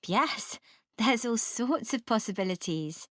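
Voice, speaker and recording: A woman playing a student in a scripted, measured discussion, giving her opinions deliberately. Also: a little high, expressive, no room sound, clean studio sound. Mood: interested. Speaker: voice actor in a listening exam dialogue.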